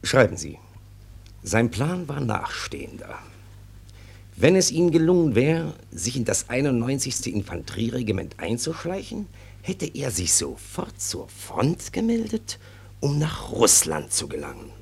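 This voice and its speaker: An actor playing a self-important, sly police sergeant in a German radio play, officious and wheedling, with a husky, gravelly voice. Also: male voice, medium pitch, wildly swooping pitch, a little slow, heavily acted. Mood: dictating, solemn.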